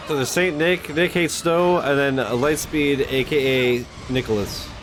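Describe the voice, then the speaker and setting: A man's voice: medium pitch, fairly even intonation, measured pace. A man hosting a reaction stream, chatting casually in a slangy, sweary, rambling way. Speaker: reaction video host. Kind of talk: casual reaction chat with viewers. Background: music from the game.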